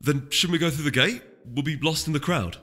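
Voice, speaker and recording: Casting: man as easygoing young storybook stallion, polite, hesitant, bright lively voice, hall reverb. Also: on the high side, a little fast, slightly rough, voice pushed up. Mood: sheepish. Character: amiable, easygoing young male winged-unicorn prince type. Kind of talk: audiobook character voice. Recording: echoey hall reverb, music behind.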